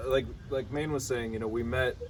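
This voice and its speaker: A man's voice: mid-range voice, fairly even intonation, moderate pace, far from the mic, very noisy. A man from Detroit, a guitarist, talking loosely and keenly in an interview, full of "you know" and "like". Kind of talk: casual interview chat.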